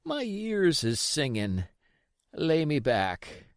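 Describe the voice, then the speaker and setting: A man voicing a sick, desperate old pirate in a storybook, wheedling and blustering in rough sailor's dialect. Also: a little high, wildly swooping pitch, slow pace, dry recording, clean studio sound, voice pushed up, full character voice. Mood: weak, faint. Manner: rough nautical dialect, pleading and cursing.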